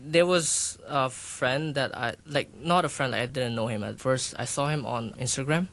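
A man's voice: medium pitch, vocal fry. A man, a bodybuilder and personal trainer raised in Hong Kong, answering interview questions in a loose, rambling way with many fillers.

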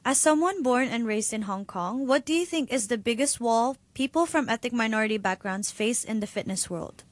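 A woman's voice: on the high side, animated, normal speed. A woman co-hosting a podcast, asking conversational, probing questions. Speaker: podcast co-host. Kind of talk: interview hosting.

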